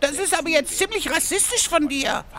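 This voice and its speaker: A woman's voice: very high voice, fairly quick, a crowd in the background. A woman voicing a cheeky, self-assured goblin in a comic audio drama. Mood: smug. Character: cheeky goblin gamer, proudly deluded.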